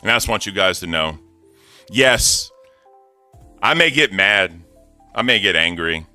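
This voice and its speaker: A deep-voiced man co-hosting a casual livestream, talking back at critics in a defiant, animated way with swearing. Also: a little slow, clean tone, no echo, soft background music. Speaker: co-host.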